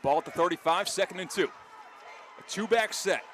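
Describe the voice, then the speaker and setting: American male football play-by-play announcer, high voice, dramatic and emphatic, stadium noise clearly behind him. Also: brisk, a bit bright. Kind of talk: live American football radio play-by-play commentary. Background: outdoor stadium ambience.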